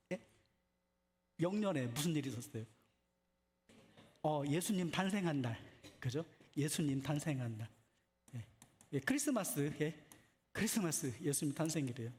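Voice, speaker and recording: A man's voice: on the high side, animated, normal speed, pauses often, a little mellow. A man preaching in a conversational style, putting questions to listeners and often repeating short affirmations. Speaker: preacher at a church retreat. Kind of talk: conversational sermon with questions to congregation.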